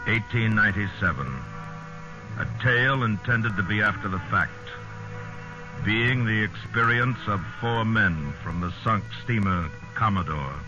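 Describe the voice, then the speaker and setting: An actor as a deep-voiced, solemn radio narrator man. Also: close to an everyday voice. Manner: solemn, measured, dramatic. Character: grave literary narrator of a sea survival tale. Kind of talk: 1950s radio drama narration. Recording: muffled old radio recording, loud music behind.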